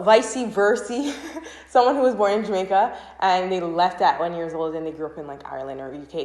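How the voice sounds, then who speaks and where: A woman's voice: on the low side, a little mellow. Chatty young woman vlogger in an echoey room. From Canada. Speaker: vlogger and channel host. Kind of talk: casual chatty vlog to camera. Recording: roomy, echoing room sound.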